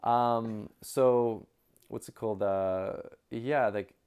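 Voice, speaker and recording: A man chatting casually as an interview guest, with an animated storytelling manner. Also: mid-range voice, slow pace, clean tone. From outside Japan. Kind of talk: casual chat.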